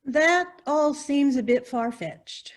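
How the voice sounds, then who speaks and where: Woman playing a high-voiced, nosy reporter. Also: takes it slowly. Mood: pressing, skeptical. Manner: inquisitive, pressing. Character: nosy, insistent female reporter with theories. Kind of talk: amateur stage farce acting over video.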